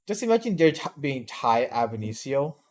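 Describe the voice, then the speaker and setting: A man's voice: medium pitch, very animated, normal speed, darkish tone. Young man rambling in casual chat. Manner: rambling, frequent restarts and fillers. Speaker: podcast co-host, student.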